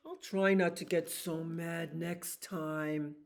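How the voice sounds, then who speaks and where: Woman doing a storybook frog-dragon, stubborn, loud, insistent, low voice. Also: fairly even intonation, slow. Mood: apologetic.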